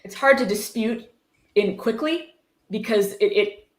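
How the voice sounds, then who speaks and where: A woman's voice: mid-range voice, measured pace. A woman hosting a casual livestream, reading aloud and reacting in a blunt, exasperated, sweary way. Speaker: livestream host. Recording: roomy, echoey sound.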